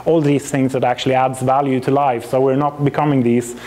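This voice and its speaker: A man in his thirties speaks English with a Swedish accent, his voice sitting at an ordinary middle pitch. He presents at a conference through a lapel mic, chatty and self-deprecating, often pausing on ums and restarting his sentences. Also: flat delivery, a little mellow, a little hiss or hum. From Sweden.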